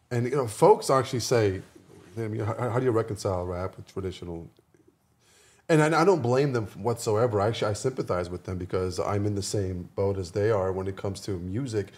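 A man with a fairly low voice speaks as a guest in a casual podcast discussion. His delivery is halting, and he reworks his phrases as he explains. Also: darkish tone.

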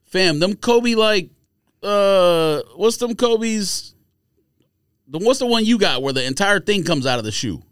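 A man's voice: high voice, lively intonation, measured pace, fairly bright tone, smooth, dry recording, clean studio sound. A man co-hosting a podcast in casual banter, with a slangy, teasing, argumentative way of talking. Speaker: sneaker podcast co-host.

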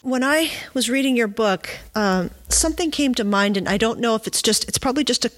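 A woman interviewing casually, thinking aloud in a halting, tentative and reflective way. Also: fairly high pitch, lively intonation, fairly bright tone. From United States. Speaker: podcast interviewer.

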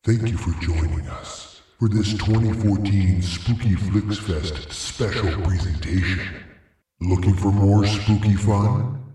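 A man's voice: lowish voice, slow, dark tone, soft background music. A man hosting a podcast, reading a scripted outro. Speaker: podcast host. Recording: very echoey, slightly distant voice.

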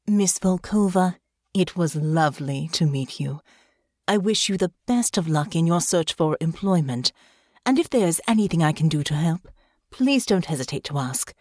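A woman's voice: low voice, animated, moderate pace, darkish tone, no room sound, clean studio sound. A woman voicing a gracious, charming androgynous noble with courtly, flirtatious politeness.

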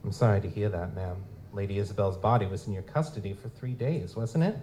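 Man acting a mellow, wisecracking noir goblin detective. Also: on the low side, brisk, close to an everyday voice. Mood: polite, probing. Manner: wry, feigns hesitant politeness. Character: wisecracking male lutin goblin private investigator, narrating noir-style. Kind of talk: hard-boiled noir audio drama narration and dialogue. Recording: noisy live stage recording.

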